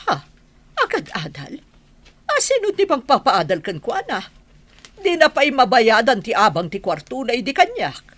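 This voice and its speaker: Woman as older village mother, Ilocano accent, anxious, nagging, husky, lively pitch, radio drama. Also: no room sound. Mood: nosy, surprised. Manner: nagging, exclamatory, quick to interject. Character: anxious, gossipy older village mother fretting over her daughter.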